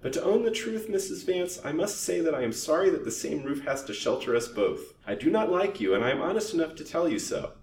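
A man's voice: fairly quick, hardly any pauses. A man voicing a spirited, haughty young girl from a wealthy home in a storybook, speaking pointedly and bluntly. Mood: pointed, cool. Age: young adult. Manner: pointed, calm, outspoken. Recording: roomy, distant microphone, faint rumbling noise.

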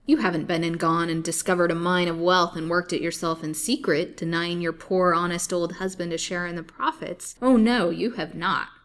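A woman's voice: lowish voice, fairly quick, hardly any pauses, lightly acted. A woman voicing a vicious, greedy old husband from a storybook, snapping with mocking, threatening sarcasm. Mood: sarcastic rage.

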